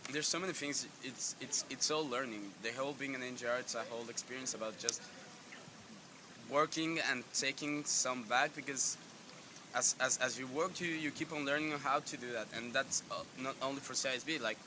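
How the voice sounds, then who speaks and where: A man's voice: mid-range voice, a little fast. A young man with a Mexican accent giving casual interview answers. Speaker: youth organization representative. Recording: clear ambient background noise.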